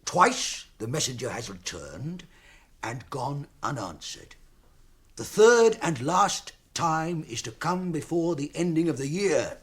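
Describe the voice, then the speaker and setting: An actor playing a troubled, earnest dwarf envoy with a husky voice in a radio drama. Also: male voice, on the high side, very animated, slow, a bit bright, full character voice.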